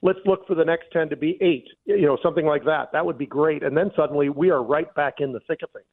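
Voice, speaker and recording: A man's voice: on the high side, fast talker, narrow, tinny audio. A man with an American accent talking sports casually, heard through a thin, band-limited connection.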